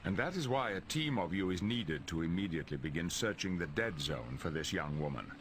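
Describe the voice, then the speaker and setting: A dignified professor commanding a hero team in a game. The voice is low and expressive, formal and courteous, with faint noise behind it. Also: male voice, brisk. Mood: grave, authoritative. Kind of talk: video game cutscene voice acting.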